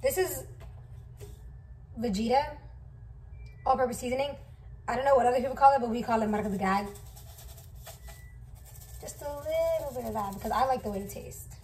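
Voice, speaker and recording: A woman's voice: fairly high pitch, fairly quick, long pauses, a little mellow. A young woman chatting casually while she cooks in a home kitchen, rambling with lots of fillers. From Somali family. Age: young adult. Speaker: vlogger. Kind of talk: casual cooking vlog chat. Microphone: camera on counter, distant. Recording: distant camera mic, noisy.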